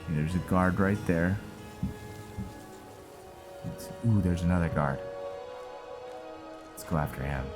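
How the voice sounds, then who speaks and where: A man hosts a role-play gaming series in a deep voice at an unhurried pace. He muses casually to himself, with game music clearly behind him. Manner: laid-back, musing, talks to himself. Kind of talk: casual let's play commentary.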